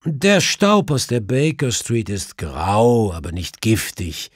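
A man's voice: lowish voice, wildly swooping pitch, slow pace, slightly rough, no echo, studio quality, full character voice. A man voicing a clever, self-assured male detective who explains things precisely in an audiobook. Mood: mischievous. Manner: precise, explanatory, faintly mischievous.

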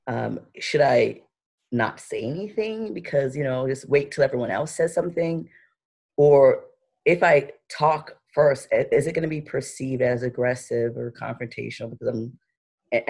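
A woman's voice: a bass voice, creaky, a little mellow, breathy edge. A young woman talking on a video call from her living room, thoughtful and careful. She often restarts her sentences while she works out her point, and her voice is picked up by a laptop webcam. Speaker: panelist. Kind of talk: panel discussion over video call.

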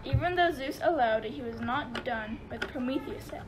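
A woman's voice: high voice, normal speed. A woman narrating a radio play in scripted storytelling style. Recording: noisy amateur recording.

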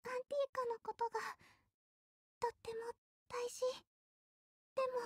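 Tiny-voiced, shy, very high idol girl. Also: no room sound, clean studio sound. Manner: timid, halting, soft-spoken. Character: shy, gentle, hesitant teenage girl idol. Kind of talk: Japanese mobile game voice acting.

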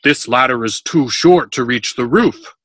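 A man voicing a grumbling, short-tempered ancient Greek workman from a storybook, complaining loudly in exasperation. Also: mid-range voice, measured pace, dry recording. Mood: grumbling, irritated.

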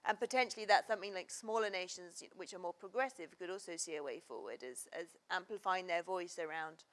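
A woman in her thirties answering a question on a conference panel, measured and explanatory, often saying "you know". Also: on the low side. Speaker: panel researcher. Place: conference stage, seated in armchair. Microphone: lapel.

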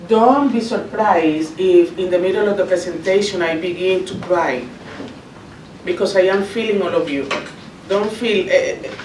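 A middle-aged woman with a Colombian accent speaks as a motivational coach, in a fairly low voice and at a very slow, deliberate pace. Her native language is Spanish, and she is emotive, repeating phrases for emphasis. She is picked up from across a classroom. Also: darkish tone. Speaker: coach speaking to parents and children. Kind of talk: motivational presentation. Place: school classroom, indoors. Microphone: camera some meters away. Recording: roomy, noisy classroom, distant mic.

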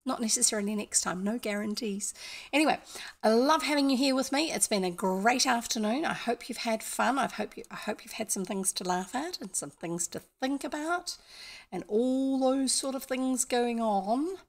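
Casting: older New woman, gravelly edge, to host a casual, rambling livestream chat. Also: fairly high pitch, normal speed. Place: indoor room, home streaming setup.

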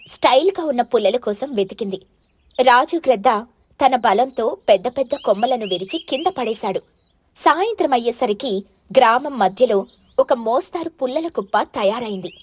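A woman's voice: fairly high pitch, breathy edge. A woman storyteller reading a scripted children's moral story as narration.

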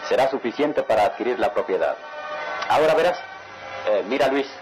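A man's voice: medium pitch, lively intonation, a little fast, soft background music, lightly acted, poor audio. An actor playing a proud, jovial middle-aged father in a radionovela, with a declamatory manner. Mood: excited, assured. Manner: chuckling, declamatory patriarch. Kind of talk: radionovela acting.

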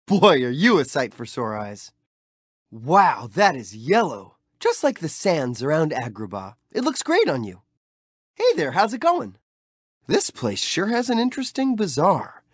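An actor voicing a cheerful, street-smart young hero in video game clips, with light, boyish, friendly and teasing delivery. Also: male voice, high voice, slightly rough, dry recording, studio quality, heavily acted. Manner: friendly, teasing, easygoing chatter.

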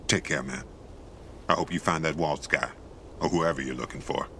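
A man playing a weathered, gruff but big-hearted middle-aged pilgrim in a post-apocalyptic video game, laid-back, teasing and wistful. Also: a bass voice, fairly even intonation, moderate pace, noisy background. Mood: warm, parting. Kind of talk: naturalistic video game dialogue acting. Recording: music under the dialogue.

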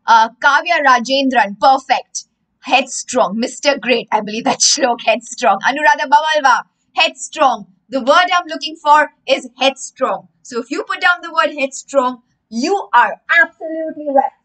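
A young woman, telling a story to children as a livestream English lesson, theatrical and emphatic, pausing to explain words. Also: high-pitched, a bit bright, poor audio. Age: young adult. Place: indoor room with bookshelves.

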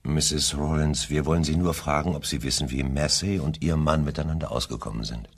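An actor playing a probing, deliberate police investigator in a German crime radio play, questioning in a measured, formal way with a gravelly voice. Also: male voice, very deep voice, fairly even intonation, full character voice. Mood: probing, tactful.

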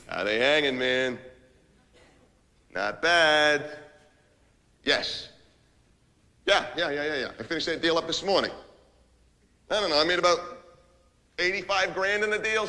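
A man's voice: on the high side, expressive, measured pace, long pauses, bright, forceful tone, heavily acted. An actor playing an abrasive, self-important New businessman, ranting impatiently in a theatrical comic monologue of phone calls. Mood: cocky, boastful. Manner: impatient, ranting, barking orders and repeating himself. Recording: reverberant hall.

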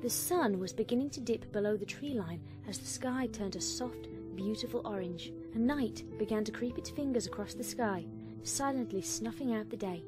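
A woman's voice: on the high side, moderate pace, over music. A woman reading a scripted story as a dramatic fan fiction narrator.

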